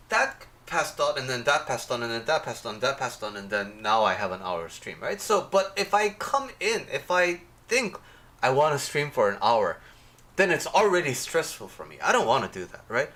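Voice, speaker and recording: A man hosting a casual livestream, his voice a little off from a sore throat, rambling with many pauses and talking to viewers by name. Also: medium pitch, big pitch swings, bright, forceful tone. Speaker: life coach hosting a livestream.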